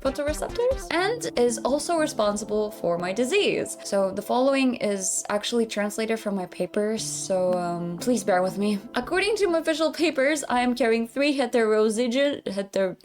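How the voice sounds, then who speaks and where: A woman's voice: on the high side, animated, moderate pace, few pauses, darkish tone, faint music behind. A woman giving an informal, chatty explainer to viewers as a vtuber.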